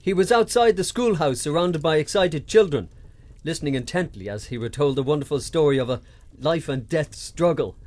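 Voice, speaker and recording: Male actor as a lively young scholar. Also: on the high side, moderate pace, lightly acted. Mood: curious. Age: young adult. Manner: courteous, earnest. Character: polite, eager young male university visitor. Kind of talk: radio drama acting.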